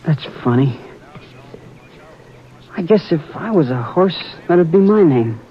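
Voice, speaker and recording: A man's voice: a little high, animated, slow pace. An actor playing a frightened young ranch boy in an old radio drama, talking in a strained, pleading way. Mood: curious. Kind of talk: 1950s radio drama acting. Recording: dull old radio transcription.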